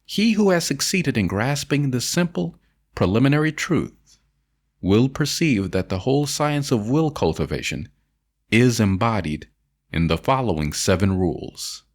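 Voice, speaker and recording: A man narrating an audiobook of an old self-help essay in a solemn, deliberate manner, stressing phrases and drawing out their endings. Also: mid-range voice, lively intonation.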